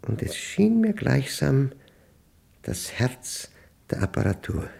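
An actor playing an aging, introspective, solitary man in a radio play monologue, speaking in halting, deliberate phrases with a husky voice. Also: mid-range voice, sing-song, a little mellow, heavily acted. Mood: troubled, intense. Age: older. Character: aging, solitary man brooding over a humming machine.

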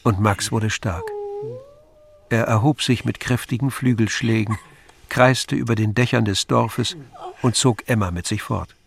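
A man's voice: on the low side, a little slow, vocal fry, slight background noise. A man giving scripted literary narration as the narrator of an audio drama.

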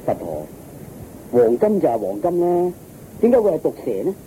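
Soft-toned, earnest monk disciple. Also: male voice, a little high, huge pitch swings, normal speed. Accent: Hong Kong Cantonese. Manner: polite, earnest, questioning. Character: gentle, deferential monk disciple of Buddha. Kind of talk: radio drama acting. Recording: muffled radio recording, faint noise.

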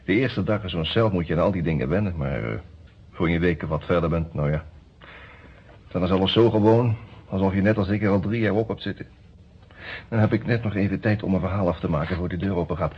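A man's voice: deep voice, slightly rough, full character voice. An actor in a radio play voicing a smug, worldly-wise lawyer in prison, telling his story in a chatty, wry way. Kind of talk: Dutch radio drama acting, conversational storytelling. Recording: dull, muffled old radio recording.

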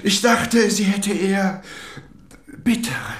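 An actor playing a pompous, evasive clinic chief in a crime radio drama, speaking in a formal, halting way. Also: male voice, high-pitched, very animated, fairly bright tone, roomy sound, full character voice. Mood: evasive, uneasy. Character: pompous, evasive male head doctor of an elite clinic.

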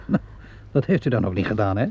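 An actor playing a calm, courteous and dryly wry police inspector, questioning politely in an old radio play. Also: male voice, fairly low pitch, slightly rough. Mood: probing. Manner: measured, polite questioning with hesitant interjections. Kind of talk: vintage Dutch radio play acting. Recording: dull lo-fi vintage radio recording.

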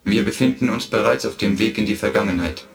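Gravelly low robot servant , played by a guy, dutiful and flat, faint music behind. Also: fast, close to an everyday voice. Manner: dutiful, matter-of-fact. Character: obedient male robot servant, flat and literal. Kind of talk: 1980s radio play acting.

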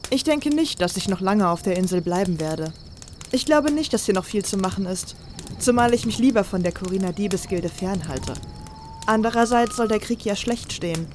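A woman's voice: mid-range voice, expressive, moderate pace, a little mellow, close to an everyday voice. Woman as confident female spy, fantasy radio play, blunt delivery, audible background noise. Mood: matter-of-fact.

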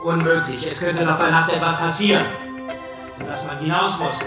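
A man's voice: fairly high pitch, steady tone, moderate pace, natural voice. An actor playing a worried father in a radio play, objecting emphatically during a family quarrel. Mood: alarmed objection. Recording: heavily echoing voice. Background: music.

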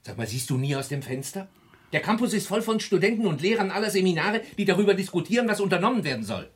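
An actor playing an agitated university colleague in a radio drama, urgent and insistent. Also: male voice, high-pitched, big pitch swings, fast, slightly rough, full character voice. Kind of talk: naturalistic radio drama dialogue.

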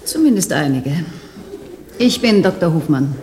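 An actress playing a cool, formal and dryly wry clinic doctor in a radio play, with background noise. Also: female voice, deep voice, sing-song, unhurried, a little mellow. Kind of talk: 1990s radio drama acting.

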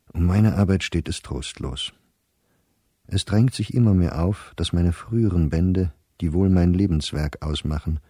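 An actor playing a middle-aged German scholar who reads his despondent, anxious diary in a measured, restrained way for a radio play. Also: low voice, warm tone, slightly rough, no echo, studio quality. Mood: bleak, resigned.

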